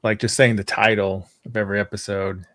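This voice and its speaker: A man with a fairly low voice talks casually as a panelist at a fan discussion. His delivery is conversational and unscripted, and the sound is dry and close. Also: level tone, fairly quick, fairly bright tone, dry recording.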